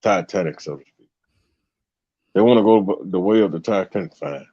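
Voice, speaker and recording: A man with a deep bass voice hosting a casual livestream chat, given to preachy rants. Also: normal speed, mellow, lo-fi sound. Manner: ranting, preachy asides. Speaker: stream host.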